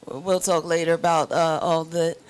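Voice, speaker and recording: A woman's voice: low-pitched, flat delivery, normal speed, noisy background. A woman guest introducing herself hesitantly, as an actress and author on a talk show.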